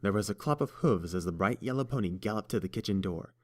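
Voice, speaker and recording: A man reading a fan story aloud with a very low voice. His delivery is steady and even, paced like an audiobook. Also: fast. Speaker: narrator.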